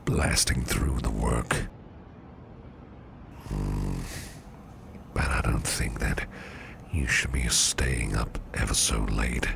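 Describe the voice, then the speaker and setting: A man playing a flirtatious, protective businessman boss in a soft, slow, soothing ASMR roleplay, with a deep, gravelly near-whisper. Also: background noise, exaggerated voice. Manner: slow, soothing, teasing, repeats phrases softly.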